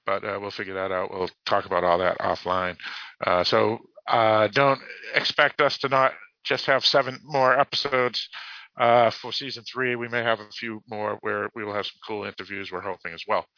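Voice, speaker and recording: A man with a Boston accent hosting a podcast in casual talk, halting and correcting himself as he reads out messages. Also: lowish voice, fairly even intonation, no room sound, muffled recording. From United States, Boston area. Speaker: podcast host.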